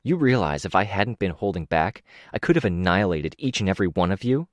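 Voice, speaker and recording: A man's voice: low voice, expressive, a little fast, no echo, clean studio sound. A man voicing a hard, threatening young woman in an audiobook. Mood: bitter. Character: cold menacing young woman, former killer.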